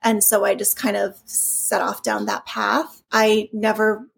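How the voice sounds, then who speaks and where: A woman's voice: medium pitch, flat delivery, measured pace, vocal fry, slight background noise. A woman from California who grew up in Hawaii, talking casually and at length as an interview guest, with many fillers and restarts. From California, raised in Hawaii. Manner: chatty, rambling, frequent restarts and fillers. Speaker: toy company founder, guest.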